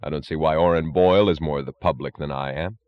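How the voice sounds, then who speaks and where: A man voicing a calm, blunt industrialist who speaks in a cold, matter-of-fact, logical way. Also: rumbling low, quick pace, phone-quality sound. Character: calm, blunt, defiant male steel industrialist, coldly logical.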